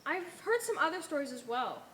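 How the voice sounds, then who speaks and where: A woman's voice: high voice, sing-song, fairly quick, a bit bright, a little hiss or hum. A young woman playing an earnest, questioning follower in an amateur stage play, with a hesitant, searching manner. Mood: curious, questioning. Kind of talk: amateur one-act stage play acting. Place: theater stage.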